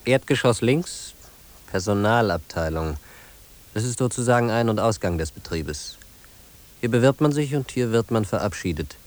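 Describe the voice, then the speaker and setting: An actor playing a cool, faintly wry guide who narrates a radio play in a measured, matter-of-fact way. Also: male voice, lowish voice, lively intonation, natural voice. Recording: hissy old radio play recording.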